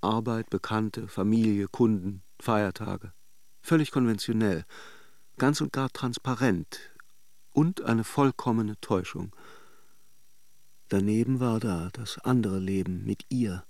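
A melancholic lover in a literary radio drama, reflective and intimate. His low voice has a gravelly creak. He speaks in measured, introspective phrases, tender yet detached. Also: a little mellow, no echo. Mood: reflective, wistful. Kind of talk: literary radio drama, introspective first-person narration and dialogue.

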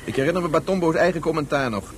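A man's voice: on the high side, lively intonation, very fast, natural voice. An actor playing a scornful, educated man with a wry, dismissive manner in a science fiction radio play. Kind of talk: radio drama dialogue. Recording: faint noise on radio play recording.

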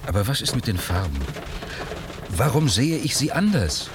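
A man's voice: medium pitch, sing-song, normal speed, noisy background, natural voice. An actor playing a guarded, sceptical, brooding man in a German radio play, speaking in a terse, probing, dramatic way. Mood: brooding, agitated. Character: guarded, sceptical, brooding male patient with secrets.

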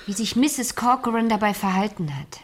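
A woman's voice: medium pitch, animated, normal speed, lightly acted. A woman playing a persistent fifteen-year-old niece who questions pointedly, in a German radio crime drama. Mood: pressing, accusing.

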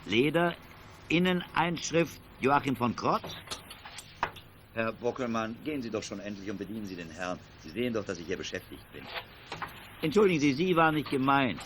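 A middle-aged actor playing an impatient auction house boss in a radio play, formal and fussy. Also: male voice, medium pitch, lively intonation, normal speed, lightly acted. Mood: snappish. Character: excitable adult stamp auction dealer, impatient boss. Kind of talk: 1980s German radio play acting. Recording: faintly noisy 1980s audio drama tape.

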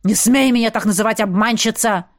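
A woman voicing a pompous, easily wounded baron in an audiobook, with drawn-out theatrical exclamations. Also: high-pitched, big pitch swings, no room sound, studio quality. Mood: indignant, hurt. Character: pompous round-headed baron man, bewildered, wounded and indignant.

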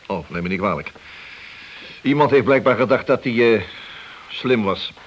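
An actor playing a wily senior police detective in a radio play, leisurely and needling behind a friendly front. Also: male voice, medium pitch, animated, moderate pace, highs cut off, lightly acted. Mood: falsely friendly. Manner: slyly affable, leisurely, needling. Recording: hissy old radio play recording.

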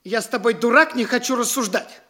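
An actor playing a vain, fussy, hungry young gentleman in a theatrical radio play, haughty and exclamatory. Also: very high-pitched, a little fast, slight background noise. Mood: indignant.

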